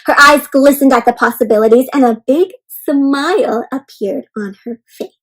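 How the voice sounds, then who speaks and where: A woman reading a children's storybook aloud interactively, expressive and encouraging like a teacher, often turning to ask her young listeners questions. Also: high voice, moderate pace, low-quality recording. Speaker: children's read-aloud host.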